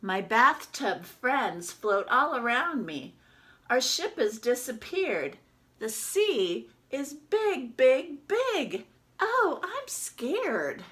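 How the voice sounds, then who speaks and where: Storybook toy duck, small, timid and lonely, read by a woman. Bright voice, unhurried, expressive delivery. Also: high voice, voice pushed up, exaggerated voice. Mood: frightened, excited. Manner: expressive, dramatic storybook narration. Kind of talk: children's picture book read-aloud.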